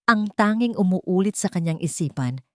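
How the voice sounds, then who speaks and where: A woman with a low-pitched voice tells a dramatic scripted story. Her delivery is measured and theatrical, and the sound is dry, with very little room. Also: animated, a bit bright, no room sound. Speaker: audio drama narrator.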